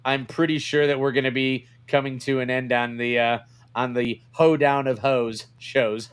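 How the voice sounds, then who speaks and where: A man with a mid-range voice chats as a podcast co-host. His delivery is dry and skeptical, a little halting as he hunts for the right words. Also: medium pitch, steady tone, clean tone. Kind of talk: casual chat.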